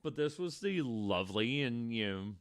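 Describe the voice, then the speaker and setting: A man with an average-pitched voice speaks as a podcast co-host. He tells true-crime stories casually and wanders into wordy asides. The sound is dry, with very little room. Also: animated, moderate pace, clean tone, no echo.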